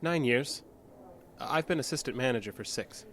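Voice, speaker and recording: A man's voice: mid-range voice, expressive, normal speed, fairly bright tone, slight background noise, close to an everyday voice. An actor playing a stubborn, guarded diner manager in an audio drama, terse and insistent in his answers. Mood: guarded, defensive. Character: guarded, stubborn male diner assistant manager under questioning.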